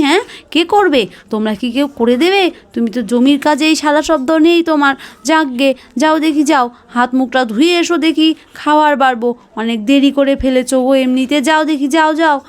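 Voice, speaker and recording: A woman's voice: sits high, lightly acted. A woman voicing a harried, devout village wife and mother who nags and repeats herself in a doll cartoon. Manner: nagging, exclaiming, repeats herself. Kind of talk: Bengali doll cartoon voice acting.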